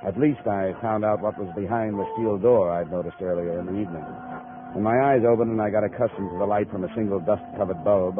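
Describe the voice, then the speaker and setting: A man's voice: low-pitched, close to an everyday voice. A man acting a tough, terse American insurance investigator in a clipped 1950s radio detective style. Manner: clipped, hard-boiled. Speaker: lead actor. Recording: noisy, muffled 1950 radio recording.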